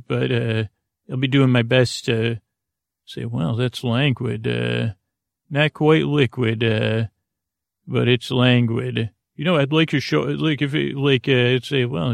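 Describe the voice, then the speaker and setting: A man with a gravelly, creaky voice at a middling pitch, recorded close and dry. He hosts a sleep podcast, wandering through his monologue in a halting, repetitive way. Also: dry recording. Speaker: podcast host.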